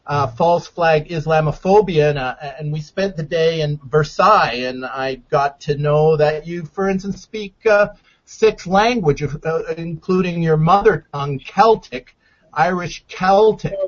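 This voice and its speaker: Male radio interview host, slightly high voice, measured and deliberate delivery, dull lo-fi recording. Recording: dull lo-fi sound.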